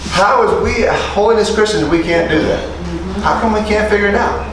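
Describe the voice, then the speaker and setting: A middle-aged man gives an animated teaching sermon, firing questions at his listeners and drawing amens back. His voice sits fairly high. The sound is very roomy and slightly distant, with steady noise underneath. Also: normal speed. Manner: animated, calls for amens, asks the room questions. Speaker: preacher teaching street preachers. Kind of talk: lively interactive sermon. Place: small indoor meeting room. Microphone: lapel mic.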